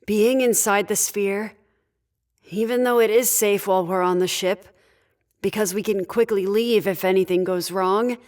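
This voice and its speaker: A woman's voice: medium pitch, close to an everyday voice. Female actor for no-nonsense spaceship leader, mid-range voice, firm and clipped orders, audio drama. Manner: curt, authoritative.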